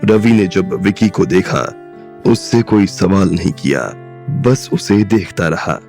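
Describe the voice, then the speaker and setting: A male narrator with a very deep voice and a gravelly fry. He tells the story in a steady, scripted way. Soft music plays underneath. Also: a little slow.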